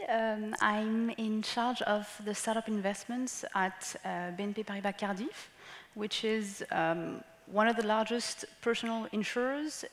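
Woman in her 30s on a panel, gravelly voice, lots of restarts, hall reverb. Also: mid-range voice. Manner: hesitant, repeats words. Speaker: panelist, investor. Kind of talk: panel discussion. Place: large conference hall stage. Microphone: headset mic.

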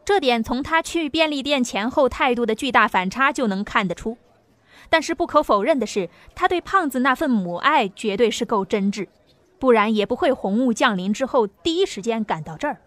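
A woman's voice: on the high side, lively intonation, brisk, a bit bright, no room sound. A woman narrating a novel as an audiobook, reading a dramatic script. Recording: music under the voice.

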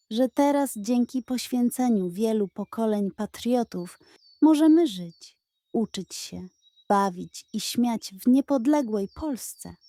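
A woman's voice: on the high side, mellow, dry recording. A woman voicing a kind, proud old grandfather and former soldier in a children's storybook, speaking earnestly and tenderly. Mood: moved, proud. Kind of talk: children's audio storybook character.

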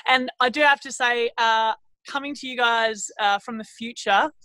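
A woman's voice: a little high, moderate pace, sharp tone, no room sound, poor audio. A woman playing a cheery, clueless Australian prepper with an Australian accent, speaking chummily on a video call from outdoors. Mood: chummy. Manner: chummy, rambling, calls everyone mate. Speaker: guest. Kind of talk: improvised comedy character.

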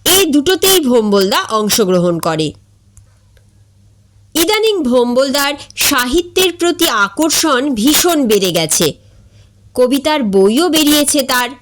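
A woman reads a comic story aloud at a slow, deliberate pace. Her narration is expressive, with a lively, animated pitch and a slightly high voice. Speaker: story reader.

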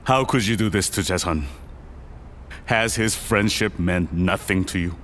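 Deep-voiced, measured older tycoon. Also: male voice, a bit bright, a little hiss or hum. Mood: stern, betrayed. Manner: measured, formal, deliberate. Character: powerful, composed older chaebol businessman and father. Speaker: actor in a podcast drama. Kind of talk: audio drama acting.

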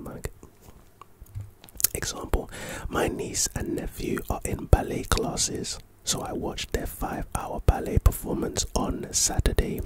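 Softly whispering man teaching vocabulary. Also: poor audio. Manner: playful asides while reading. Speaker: ASMR host teaching vocabulary. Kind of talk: whispered ASMR lesson.

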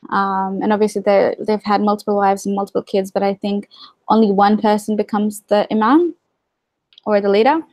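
A woman's voice: mid-range voice, fairly even intonation, normal speed, a little mellow, dry recording. A young woman speaking as a guest in a casual video-call interview from her living room, candid and wry. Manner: candid, wry, many fillers and asides. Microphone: video call webcam.

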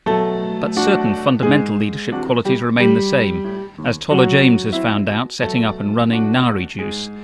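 A man narrating a business news feature in a crisp, measured, scripted voice-over. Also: medium pitch. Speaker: journalist narrator. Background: loud background music.

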